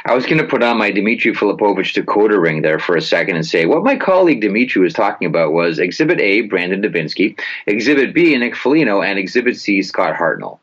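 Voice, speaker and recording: Male sports pundit, very deep register, casual but punchy delivery, slightly muffled lo-fi sound. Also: big pitch swings, fast talker, hardly any pauses, fairly bright tone. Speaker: guest hockey commentator. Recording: dull lo-fi sound.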